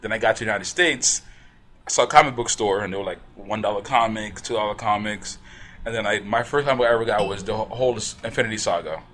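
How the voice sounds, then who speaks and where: Man from the Bahamas, podcast guest, casual, animated, bright tone. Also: on the low side, fast talker. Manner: animated, laughs often. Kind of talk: casual chat.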